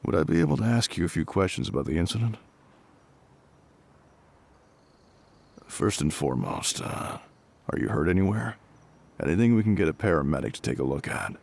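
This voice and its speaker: Very deep, gravelly male fire captain acted out. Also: big pitch swings, darkish tone, heavily acted. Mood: calm, concerned. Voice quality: gruff, gravelly, husky. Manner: measured, matter-of-fact, reassuring. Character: gruff, calm, reassuring male fire captain. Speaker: voice actor in audio roleplay. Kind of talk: intimate scripted audio roleplay spoken to the listener.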